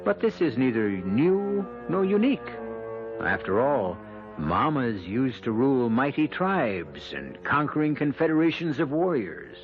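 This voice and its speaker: A man hosting a radio mystery drama with theatrical scripted narration, measured, portentous and wry. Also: mid-range voice, big pitch swings, phone-quality sound. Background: music.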